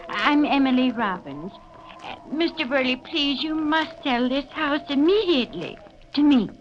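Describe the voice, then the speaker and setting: A woman's voice: sits high, lively intonation, unhurried, soft background music. An actress playing an intense, eerie, earnest woman in a 1940s radio horror drama. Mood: pleading. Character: intense, eerie, pleading woman obsessed with her family house. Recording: noisy, dull old radio recording.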